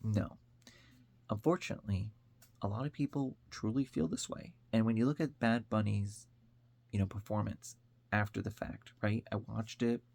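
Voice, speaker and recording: A man's voice: fairly low pitch, normal speed, creaky, a little mellow, no echo. An American man from upstate New York giving an informal opinion monologue as an online commentator, speaking in an earnest, conversational way. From upstate New York, United States.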